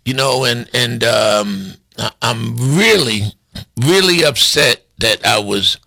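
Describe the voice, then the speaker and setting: A man's voice: medium pitch, takes it slowly, creaky, sharp tone. A man hosting a radio talk show in the studio, chatting casually and rambling with repeated words. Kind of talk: casual radio interview chat. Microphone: studio microphone.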